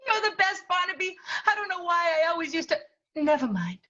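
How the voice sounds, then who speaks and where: Woman playing a very high-pitched ditzy showgirl. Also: big pitch swings, brisk, lo-fi sound. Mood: affectionate. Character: ditzy, affectionate, lovelorn young showgirl. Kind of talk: improvised 1920s radio soap acting.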